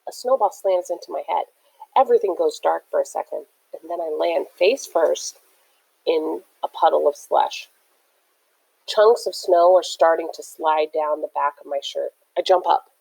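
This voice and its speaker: A woman reading a children's book aloud as a teacher, in an expressive, theatrical way. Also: deep voice, normal speed, gravelly, poor audio.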